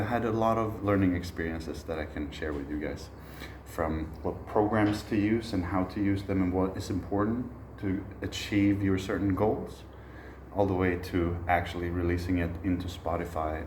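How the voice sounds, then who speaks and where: A low-voiced man in his thirties, originally from Sweden, talks through a masterclass in a reflective, unhurried way, pausing often. The room has a noticeable echo, with faint hiss underneath. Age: 30s. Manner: relaxed, reflective, frequent "uh" pauses. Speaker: musician teaching a masterclass. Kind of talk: informal spoken lecture.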